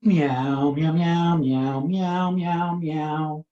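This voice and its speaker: A man acts out an earnest little storybook cat with a singsong, heartfelt manner. The delivery is unhurried, and the recording sounds slightly dull. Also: on the high side, little pitch movement, mellow, roomy sound, slight background noise, voice pushed up. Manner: sighing, singsong meows. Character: earnest singing cat, sad then delighted.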